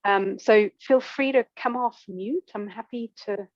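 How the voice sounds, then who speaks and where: A woman's voice: medium pitch, unhurried, dry recording, muffled recording. Woman presenting a workshop over video call. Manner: conversational, frequent fillers. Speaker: trainer leading a workshop.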